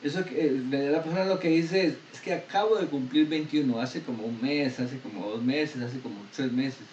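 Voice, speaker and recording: A man's voice: medium pitch, normal speed, darkish tone. Man lecturing in an echoey classroom. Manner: explains step by step, asks students questions. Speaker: math lecturer. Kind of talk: university lecture. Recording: echoey room, distant dull mic, faint noise.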